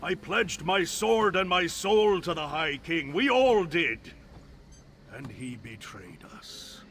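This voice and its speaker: A man's voice: a little high, very animated, slow, background noise, exaggerated voice. A man playing a bitter, betrayed warrior commander in a fantasy video game, with an intense, dramatic delivery. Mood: bitter, despairing.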